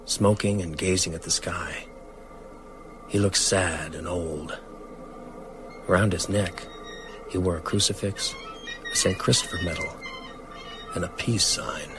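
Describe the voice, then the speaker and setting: An actor playing a grave writer who narrates his own horror story in measured radio drama style. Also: deep voice, over music. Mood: sombre. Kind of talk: scripted dramatic first-person narration.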